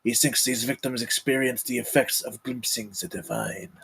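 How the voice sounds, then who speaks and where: A commanding, courtly count acted by a man in a dramatic table read. His voice is gravelly and husky, and he speaks with formal, measured solemnity. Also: medium pitch, little pitch movement, a bit bright, exaggerated voice, poor audio. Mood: grave, ominous.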